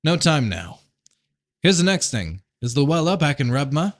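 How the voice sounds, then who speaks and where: A man's voice: fairly high pitch, very animated, a little slow, pitched above the performer's own voice. Commanding prince in a fantasy audiobook, done by a male reader, insistent and clipped, slightly lifted voice. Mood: urgent. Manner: terse, insistent. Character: urgent, commanding fantasy prince warning of catastrophe.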